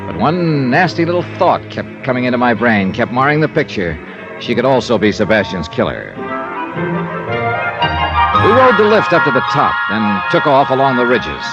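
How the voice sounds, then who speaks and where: An actor playing a tough, wry American insurance investigator, narrating in clipped 1950s radio detective style. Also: male voice, mid-range voice, very animated, normal speed. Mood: wary. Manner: clipped, hard-boiled delivery. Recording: dull vintage radio recording with music.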